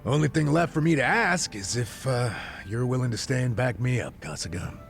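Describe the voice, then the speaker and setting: Gravelly, expressive middle-aged ex-cop bluffer. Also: male voice, mid-range voice, fairly quick, faint music behind. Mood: casual, pleading. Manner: casual, slangy, blustering. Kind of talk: video game cutscene dialogue.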